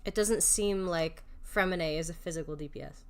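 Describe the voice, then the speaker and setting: A woman's voice: lowish voice, normal speed. A woman doing casual live video game commentary, chatty and self-mocking as she thinks aloud.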